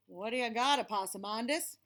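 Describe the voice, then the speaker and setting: A woman reading aloud acts out a nosy storybook critter. The high voice is inquisitive and skeptical, with a doubtful way of questioning. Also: a little fast, a bit bright, close to an everyday voice. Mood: curious. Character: nosy, skeptical wild animals met along the road.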